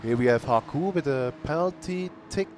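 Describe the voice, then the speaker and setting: A man commentating an online sim racing qualifying session in a plain, unhurried way. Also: a little high. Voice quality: calls himself monotonous. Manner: unhurried, plain-spoken, bursts of cheering. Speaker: race commentator. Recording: noisy stream audio.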